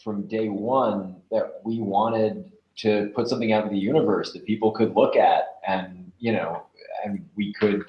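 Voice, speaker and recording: A man's voice: fairly low pitch, lo-fi sound, a little hiss or hum. A man in his twenties chatting casually and informally as an interview guest, rambling a little as he explains his work. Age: 20s. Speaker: voice actor and podcast producer, interviewed guest. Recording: roomy, echoing sound.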